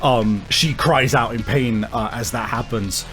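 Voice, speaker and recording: A man runs a tabletop role-playing game, improvising his narration in a casual way. He often pauses to think aloud and rework a phrase. His mid-pitched voice sits over faint music. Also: fairly bright tone. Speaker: game master.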